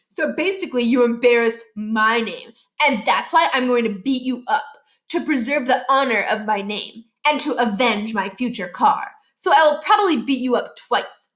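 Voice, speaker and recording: A woman's voice: a little high, a bit bright. A woman voicing a pompous, threatening schoolboy bully in a children's book read-aloud. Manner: menacing, self-important, indignant when accused.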